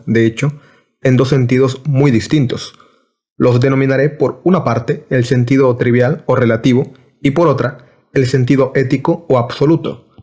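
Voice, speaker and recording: A man reads a philosophy lecture aloud in an even, formal way. His delivery is measured and deliberate, like a scripted recording. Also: mid-range voice.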